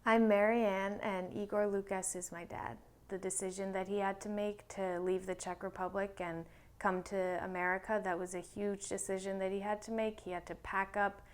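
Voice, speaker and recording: A woman's voice: medium pitch, little pitch movement, normal speed, clear, resonant tone. A young woman speaking steadily and matter-of-factly in a personal interview. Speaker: interviewee, daughter.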